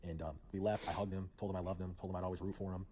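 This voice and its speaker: A man with a very low voice narrates in a rush, hopping between thoughts and padding his sentences with fillers. He walks through old messages from a friend. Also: little pitch movement, background noise. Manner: fast, rambling, filler-heavy. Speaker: streamer recounting a friendship. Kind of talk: narrating over screenshots.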